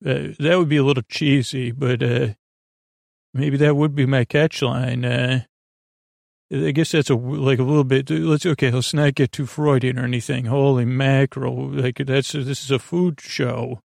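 A middle-aged man with a gravelly voice hosting a bedtime podcast, talking in a halting, meandering monologue that keeps interrupting itself. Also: medium pitch, fairly even intonation, a little mellow, no room sound, studio quality. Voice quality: gravelly, creaky. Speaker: podcast host.

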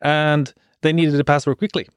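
A man's voice: on the high side, level tone, clear, resonant tone, no echo, clean studio sound. A man from Norway, a podcast guest, explaining a work story with many halts and restarts. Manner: halting, with many restarts and fillers. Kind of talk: casual podcast chat.

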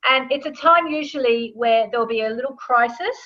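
A woman's voice: on the high side, brisk, sharp tone. A woman speaking as a guest author, casually explaining her subject in a conversational way, checking in with the listener as she goes. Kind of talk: casual explanatory interview talk. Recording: dull lo-fi sound.

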